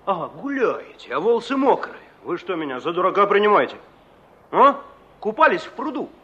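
An actor in a Soviet radio play as a gruff but good-natured village forest warden, plain-spoken and conversational. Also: male voice, high-pitched, big pitch swings, moderate pace, a bit bright, full character voice. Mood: stern, mocking. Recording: dull old radio drama recording.

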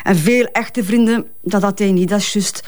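A woman's voice: mid-range voice, moderate pace, darkish tone, lightly acted. An actress playing a grieving, protective mother in a Flemish crime drama, speaking hesitant, colloquial West Flemish with repeated words. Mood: wistful, fond.

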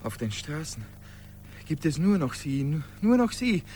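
A man's voice: a little high, sing-song, unhurried, a little mellow, slight background noise. An actor in a radio drama playing an anxious, passionate ordinary man with an agitated, insistent, pleading manner. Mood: subdued, tender.

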